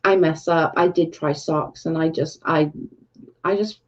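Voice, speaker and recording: A woman's voice: deep voice, steady tone, a little slow, muffled recording. A Welsh woman hosting a casual livestream, talking bluntly and with exasperation to her chat. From Wales. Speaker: livestream host.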